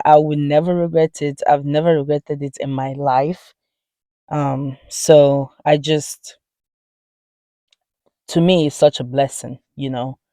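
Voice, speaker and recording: Nigerian woman vlogging, slow and earnest, lots of "you know", dry close sound. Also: sits low, dark tone, dry recording. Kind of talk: casual talk to camera.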